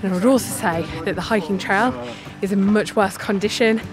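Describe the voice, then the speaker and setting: A woman's voice: mid-range voice, a little fast. A woman in her thirties, talking to a phone camera held at arm's length outdoors on a mountain trail, telling the story of her hike in a chatty, upbeat way. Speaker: travel vlogger. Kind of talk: hiking vlog narration. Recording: music in the background.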